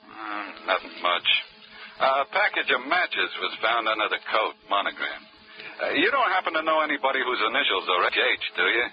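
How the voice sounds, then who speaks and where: A man's voice: on the low side, very animated, normal speed, slight background noise. An actor playing a terse, sarcastic male police lieutenant in a 1940s radio drama. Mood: deadpan. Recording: dull vintage radio broadcast.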